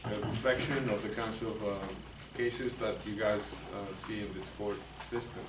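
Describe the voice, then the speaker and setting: An older man with a Spanish accent gives a soft-spoken, hesitant lecture with plenty of ums and uhs. His voice sits fairly low. He is heard from across an echoey, noisy meeting room. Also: fairly even intonation, moderate pace, distant mic. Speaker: psychologist presenting to lawyers. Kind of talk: continuing-education lecture.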